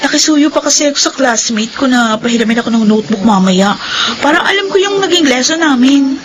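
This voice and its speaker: A woman's voice: high-pitched, lively intonation, a little fast, poor audio. An actress with a Filipino accent playing a coy young student girlfriend in a radio drama, playful and teasing. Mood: apologetic, eager. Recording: radio drama with crowd murmur behind.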